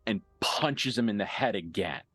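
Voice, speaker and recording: A man improvising narration as a game master for a horror tabletop game, conversational and halting, with graphic detail. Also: fairly low pitch, lively intonation, fairly bright tone, no echo.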